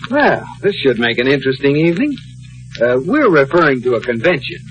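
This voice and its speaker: A man's voice: medium pitch, big pitch swings, a little fast, a bit bright, rough recording. A man playing a dry, knowing, teasing husband in a 1950s radio sitcom, on an old recording. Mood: amused.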